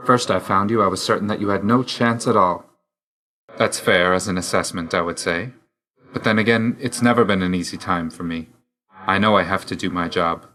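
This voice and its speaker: A man's voice: low voice, sharp tone. A man voicing a grim, reserved god of death in a video game, speaking tersely and solemnly with dry loyalty. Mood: earnest, exasperated. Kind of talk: scripted video game voice lines.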